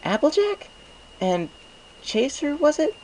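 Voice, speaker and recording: A man voicing an earnest, bookish young female unicorn in a storybook reading, breathy and apologetic. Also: very high voice, sing-song, slow pace, a little mellow, a little hiss or hum, voice pushed up, heavily acted, low-quality recording. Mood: flustered, apologetic. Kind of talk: acted audiobook character dialogue.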